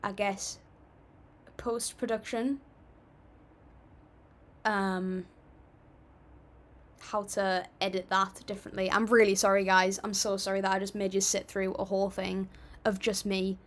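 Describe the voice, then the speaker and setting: A woman's voice: mid-range voice, little pitch movement, measured pace, pauses often. A young woman hosting a casual livestream, chatting and reading viewer comments aloud in an upbeat, apologetic way. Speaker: livestream music reactor.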